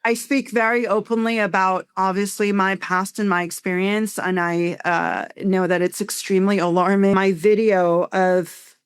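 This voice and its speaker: Heartfelt Iran-born woman guest, smooth voice. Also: mid-range voice, little pitch movement, normal speed, no echo. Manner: open, heartfelt and emphatic. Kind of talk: podcast interview.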